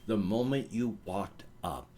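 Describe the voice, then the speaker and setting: A man voicing a gentle, reassuring man-eating monster friend in a told story, speaking in short soothing phrases. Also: on the low side, lively intonation, unhurried.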